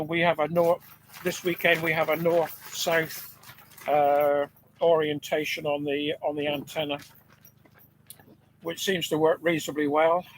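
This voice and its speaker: A man's voice: slight background noise, rough recording. Man on ham radio from a field station. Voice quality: deep voice. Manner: relaxed, hesitant with fillers. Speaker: amateur radio operator. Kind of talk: ham radio contact chat. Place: outdoor field station on a generator.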